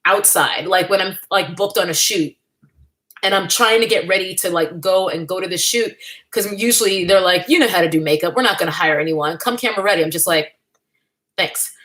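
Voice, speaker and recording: A woman's voice: on the low side, brisk, fairly bright tone. A young adult woman hosting a casual livestream from home, chatting candidly with her viewers and laughing often. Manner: chatty and candid, with frequent laughs and playful asides. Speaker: livestream host. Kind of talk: casual livestream chat, reading the chat.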